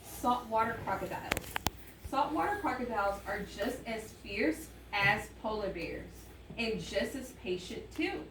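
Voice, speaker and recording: A woman's voice: fairly high pitch, expressive, a little slow. A woman teaching an elementary class through an interactive read-aloud, instructing and prompting children with questions. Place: indoor classroom. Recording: echoey classroom, distant mic, faint noise.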